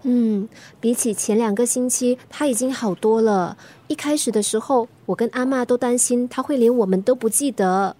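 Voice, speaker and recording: Woman playing teenage daughter, very high voice, Singaporean accent, worried and nagging, radio drama acting. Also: moderate pace, few pauses, warm tone, close to an everyday voice.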